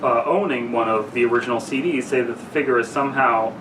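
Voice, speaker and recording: A young man, tipsy and halting, chatting casually with friends and stumbling as he reads a story aloud. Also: mid-range voice. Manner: halting, stumbles over reading. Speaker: podcast co-host reading a story aloud. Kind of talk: casual banter and story reading among friends. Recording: echoey noisy room, far from mic.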